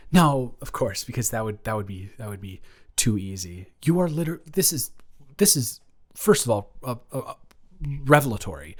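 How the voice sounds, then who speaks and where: A man's voice: medium pitch, expressive, normal speed, natural voice. A man playing a nervous, lovestruck young man confessing to his best friend in an improvised romantic audio roleplay, stammering and rambling as he goes. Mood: flustered, defensive.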